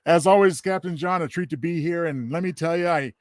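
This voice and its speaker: A man with a slightly high voice chats as a co-host. He is easygoing and supportive, and the sound is close and dry. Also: fairly quick, a little mellow, no room sound. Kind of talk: casual chat.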